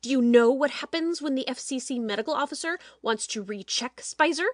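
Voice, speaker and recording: A woman playing a domineering, cold-blooded TV producer in a dramatic audiobook, speaking in a forceful, pointed way. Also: high-pitched, a little fast, no echo, studio quality, natural voice. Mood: angry, harsh. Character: hard, calculating TV show producer, domineering.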